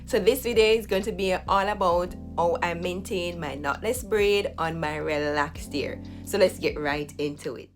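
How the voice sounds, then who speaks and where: A woman recovering from a flu, her voice slightly hoarse, chatting through a hair care routine step by step to camera at home. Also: lowish voice, normal speed, hardly any pauses, music in the background. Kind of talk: casual tutorial talk to camera.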